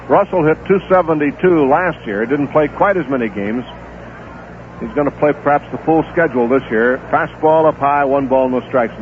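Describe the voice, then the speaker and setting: Tinny 1970s radio baseball announcer, man. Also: slight background noise. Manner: steady, unhurried play-by-play with stats between pitches. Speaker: play-by-play announcer. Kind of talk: live baseball radio commentary.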